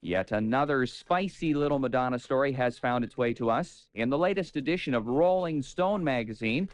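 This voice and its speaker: A man reading scripted entertainment news on the radio, with chatty asides. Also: medium pitch.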